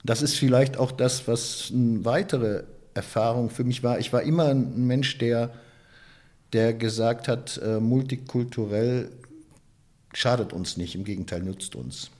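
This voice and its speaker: A man from the Ruhr area, giving a reflective interview and often pausing with hesitations and restarts. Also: medium pitch, darkish tone. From Ruhr area, Germany. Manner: thoughtful, many hesitations and restarts. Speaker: interviewee, photographer and author.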